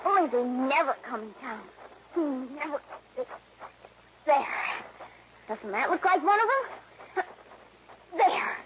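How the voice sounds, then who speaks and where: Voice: high-pitched, sing-song, takes it slowly, pauses often, heavily acted. An actor playing an eager, innocent little boy in a 1950s radio drama. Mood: absorbed, chatty. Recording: tinny, noisy vintage radio recording.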